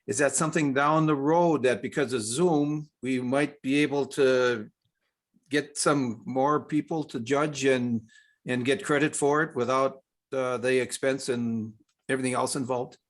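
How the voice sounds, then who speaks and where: A man's voice: mid-range voice, fairly even intonation, a little slow. An American man raising a question in an informal meeting, talking in a rambling, folksy way. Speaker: regional secretary and officials coordinator.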